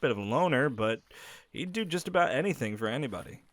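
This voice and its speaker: A man voices a friendly young werewolf who talks softly and reassuringly to you, his tone rising and falling with real animation. The close mic sounds completely dry. He stays easygoing and caring. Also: medium pitch, lively intonation, fast talker, no room sound, lightly acted. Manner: gentle, reassuring, speaks directly to the listener. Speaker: audio roleplay character. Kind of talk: scripted audio roleplay addressing the listener.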